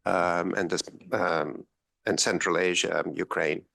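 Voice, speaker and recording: A man's voice: low-pitched, steady tone, measured pace, creaky, sharp tone. A man from Romania giving a policy panel talk, with frequent ums and wry asides. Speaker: panel speaker.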